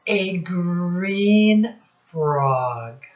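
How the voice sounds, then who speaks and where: A woman's voice: fairly low pitch, animated, very slow, lo-fi sound. A woman leading a storytime lesson for young children, encouraging them and asking them questions directly. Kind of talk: read-aloud lesson quizzing young children.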